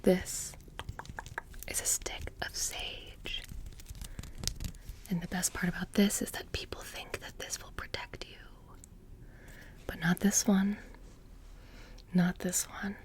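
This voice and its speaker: A woman performs soft ASMR roleplay as a smug witch shopkeeper. Her voice slips between hushed talk and whisper, with heavy vocal fry. She speaks casually and haltingly, with a deadpan, quiet menace. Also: lowish voice.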